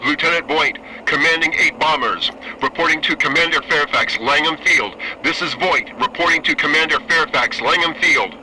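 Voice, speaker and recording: A man's voice: on the high side, moderate pace, hardly any pauses, pushed and bright, low-quality recording. A man playing a military bomber pilot in a radio drama, giving clipped, tense reports. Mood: tense, controlled. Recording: radio play with aircraft noise.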